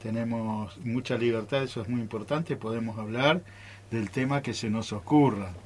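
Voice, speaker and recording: Argentine man, mid-pitched, faint room noise. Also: moderate pace. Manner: joking, informal. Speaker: radio theatre group member. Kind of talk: casual group interview chat. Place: radio studio.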